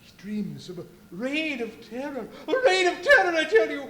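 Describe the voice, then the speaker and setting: A man acts out a horrified, anxious gentleman in a live radio drama. His voice is very high for a man and swings widely as he stammers and pleads. The room is echoey. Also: sing-song, normal speed, heavily acted. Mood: alarmed, urgent. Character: anxious, alarmed male doctor, horrified by a madman. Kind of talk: live radio play acting. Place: live performance in a brewery venue. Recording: echoey live venue, faint noise.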